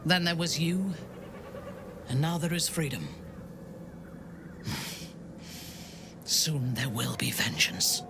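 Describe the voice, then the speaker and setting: A woman playing a proud, vengeful, long-lived dark elf noblewoman in a video game, speaking in a measured, guarded, formal manner. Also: deep voice, sing-song, gravelly, noisy background, full character voice. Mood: cold resolve. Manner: measured, deliberate, grave, formal phrasing. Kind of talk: dramatic video game acting. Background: background music.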